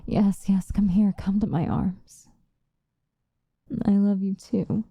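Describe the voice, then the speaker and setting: An obsessive female vampire played by a woman speaks slowly in a very dark, soft voice, close and dry. She is intimate and breathy, dropping into whispers. It is an ASMR roleplay, sinister and tender with a possessive edge. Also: medium pitch, little pitch movement, no room sound. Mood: elated, loving. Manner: intimate, breathy, drawn-out seductive delivery, often dropping into whispers.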